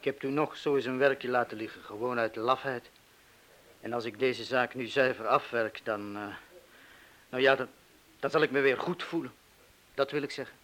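Radio play: male actor as wavering middle-aged crook, halting, fearful, guilty, mid-range pitch. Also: a bit bright, lightly acted. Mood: anxious, guilt-ridden. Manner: hesitant, halting, confessional.

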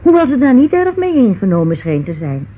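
A woman's voice: a little high, very animated, fairly quick, slightly rough. An actress playing a chatty, protective, fussing woman in a radio drama. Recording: tinny, noisy old radio recording.

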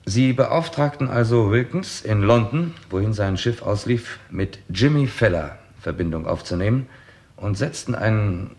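A low-voiced, probing police detective. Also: male voice, animated, measured pace, darkish tone. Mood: methodical, formal. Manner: methodical, formal, insistent rhetorical questioning. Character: confident male police detective laying out a case, probing and composed. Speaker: actor in a crime radio play. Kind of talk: 1960s German radio drama acting.

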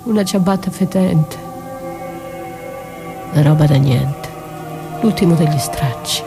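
A woman performing a dramatic radio theatre monologue as a battered wife, wounded, accusing and defiant, with intense delivery. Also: deep voice. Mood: bitter, wounded. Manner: slow, intense delivery swinging from whispers to cries. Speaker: stage actress performing a solo monologue. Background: music.

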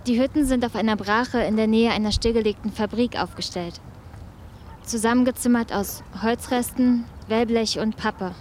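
An actress playing a composed, curious radio reporter, narrating and interviewing in a documentary-style drama. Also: female voice, fairly high pitch, fairly even intonation, moderate pace, a little mellow, a little hiss or hum, natural voice.

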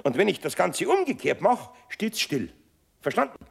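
Gravelly older Bavarian craftsman. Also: sits high, sing-song, normal speed, full character voice. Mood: mock-solemn bluffing. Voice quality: gravelly, husky. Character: kindly, wily older male master craftsman, gruff but good-natured. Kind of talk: 1970s children's radio play acting.

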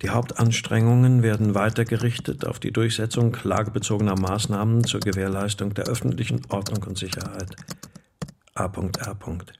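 An actor playing an officious, pedantic civil servant in a radio drama, speaking in stiff officialese. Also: male voice, on the low side, steady tone, moderate pace, creaky, noisy background. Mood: formal. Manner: stiff officialese, reciting regulations. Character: officious, pedantic male civil servant, self-appointed guardian of order.

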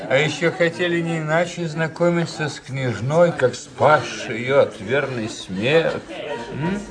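An actor playing a wry, curious doctor in a radio play, speaking in a drawling, bantering way. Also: male voice, fairly high pitch, unhurried, crowd noise, lightly acted. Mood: sly, curious. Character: wry, curious doctor, the officer's sly confidant. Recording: music playing behind the voice.

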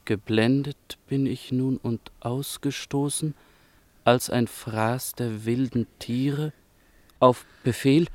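An actor playing a deferential, solemn court chamberlain in a radio drama, speaking formally and with measured gravity. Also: male voice, medium pitch, fairly even intonation, dry recording, close to an everyday voice. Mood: grave reading.

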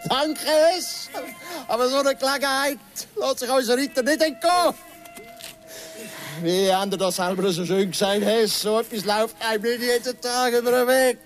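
A menacing radio-drama villain with a Swiss German accent. His voice sits high for a man and he taunts with gloating, drawn-out mock sweetness. Music runs clearly behind the words. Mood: mocking, triumphant.

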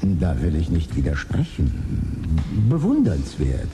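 An actor in his forties playing a man who grew up poor, speaking in a slow, brooding way in a radio drama. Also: rumbling low, wildly swooping pitch, noisy background, full character voice. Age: 40s. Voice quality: gravelly. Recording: studio with music underneath.

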